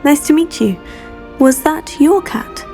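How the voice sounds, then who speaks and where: A woman playing a polite, earnest teenage girl, a new student in a video game, measured in manner. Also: sits high, warm tone, soft background music. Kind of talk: video game character dialogue.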